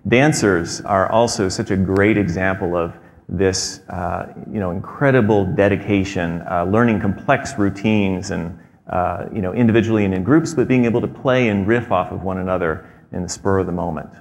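Man, deep low voice, measured talk with fillers, headset mic, long theater reverb. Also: vocal fry. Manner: measured, explanatory, with frequent fillers. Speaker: presenter. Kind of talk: prepared talk. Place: large theater stage.

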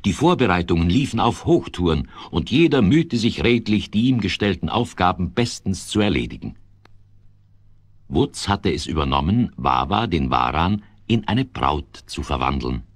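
A male storyteller narrates a children's radio play. His voice is deep and very expressive in pitch, recorded dry. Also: moderate pace, no echo.

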